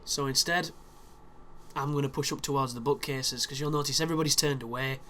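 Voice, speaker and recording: A man's voice: medium pitch, steady tone, brisk, sharp tone, slight background noise. Chesty man narrating gameplay. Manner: chatty, explanatory, with frequent restarts and fillers. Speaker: video game walkthrough commentator. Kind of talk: casual gameplay commentary.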